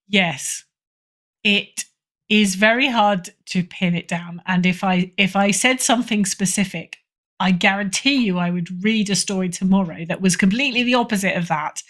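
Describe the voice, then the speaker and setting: A woman giving casual interview answers as a podcast guest and editor, thoughtful, pausing for emphasis. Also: mid-range voice, fairly bright tone.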